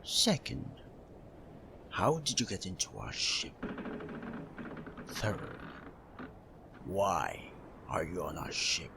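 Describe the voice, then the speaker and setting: Man acting gruff, menacing pirate interrogator, low murmurs. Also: huge pitch swings, background noise, exaggerated voice. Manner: threatening, deliberate. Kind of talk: ASMR roleplay acting.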